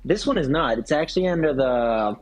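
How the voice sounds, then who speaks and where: A man chatting casually, explaining things haltingly and often repeating his phrases as he goes. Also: medium pitch, expressive, over music. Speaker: guest on a live work stream. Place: hotel room.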